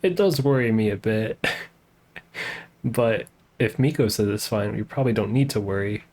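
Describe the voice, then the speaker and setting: A woman's voice: extremely low pitch, moderate pace, a little mellow. A woman giving casual, laid-back live stream commentary on a game in brief asides.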